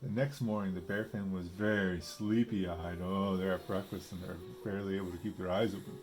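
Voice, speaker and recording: A man's voice: deep voice, steady tone, brisk. A man reading a picture book aloud with casual asides, explaining simply as if to a young child. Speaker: storybook reader.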